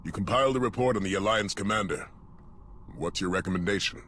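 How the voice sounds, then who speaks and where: A resolute military leader with a lowish, forceful, sharp voice. He is grave and authoritative in game cutscene dialogue. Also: fast talker. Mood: grim, decisive. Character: stern, resolute male military supreme commander. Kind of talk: video game cutscene acting.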